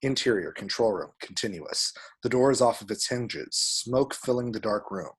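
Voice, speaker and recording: Husky, lower-voiced man reading screenplay action. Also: animated, normal speed. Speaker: table-read action narrator.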